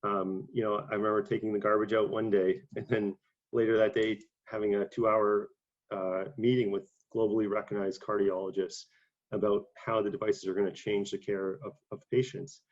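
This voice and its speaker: A young man with a low voice that barely rises or falls speaks haltingly, filling gaps with "um" and repeating words. He sounds congested from a cold. The recording is dull and lo-fi, like an online presentation. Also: level tone. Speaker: conference speaker. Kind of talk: career talk to an online audience.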